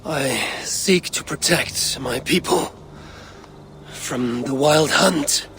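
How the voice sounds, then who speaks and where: A man voicing a battle-hardened, stoic Viking warrior leader in a video game, measured and resolute. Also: fairly high pitch, fairly bright tone, slight background noise. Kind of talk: video game cutscene acting. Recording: music behind the voice.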